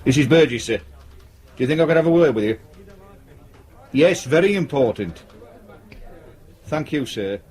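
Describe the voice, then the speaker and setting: A British actor playing a dogged, blunt police detective sergeant in a radio drama, with brisk, gruff colloquial talk. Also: male voice, mid-range voice, animated, darkish tone, natural voice. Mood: intent, satisfied.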